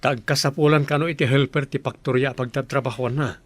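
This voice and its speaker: A man's voice: medium pitch, fairly even intonation, fairly quick, natural voice. An actor with an Ilocano accent playing an earnest young village man in a radio drama. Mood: affectionate.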